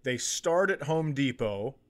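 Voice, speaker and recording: A man's voice: mid-range voice, lively intonation, slow. A man co-hosting a comedy podcast, trading teasing, playful banter and acting out imagined dialogue.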